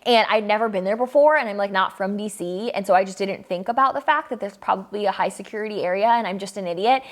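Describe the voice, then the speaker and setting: A woman's voice: medium pitch, fast. A woman in her mid-twenties answering viewer questions in a casual vlog, upbeat and chatty, laughing often between her answers. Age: 20s. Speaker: photographer and vlogger. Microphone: camera mic, filmed by a friend.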